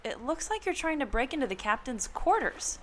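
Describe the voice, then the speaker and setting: A woman playing a sensible, curious adventurer with expressive delivery in a radio comedy. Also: sits high, a little fast. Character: sensible, curious young-spirited female adventurer Annie. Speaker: podcast host acting in audio drama. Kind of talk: amateur radio comedy acting. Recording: noticeable ambient background noise.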